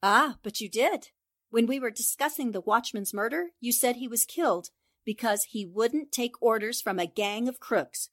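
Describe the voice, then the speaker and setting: A woman acting a sharp, confident young newspaperwoman in a 1940s-style radio drama, crisp and assured as she reasons things out. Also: fairly high pitch, normal speed. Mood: coolly accusing. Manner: crisp, assured, explaining deductions.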